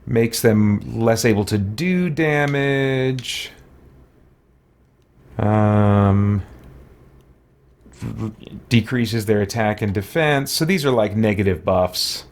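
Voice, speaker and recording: A man's voice: lowish voice, slow pace, pauses often. A man giving casual let's play commentary, muttering to himself as he reads game text aloud and mulls it over.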